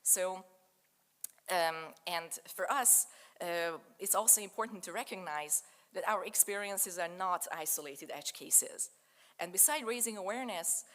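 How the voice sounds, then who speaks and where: A woman whose first language is Hungarian, giving an academic lecture in a measured, explanatory way with frequent fillers. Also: on the low side, fairly bright tone. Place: lecture room in a German-speaking country.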